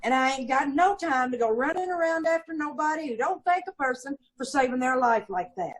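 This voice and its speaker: A woman's voice: sits high, expressive, fairly quick. A woman playing a gossipy, aggrieved middle-aged landlady and mother of five, talking folksy and ungrammatical in old-time radio style. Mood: indignant. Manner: folksy ungrammatical talk, indignant and self-pitying. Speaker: witness in radio police drama.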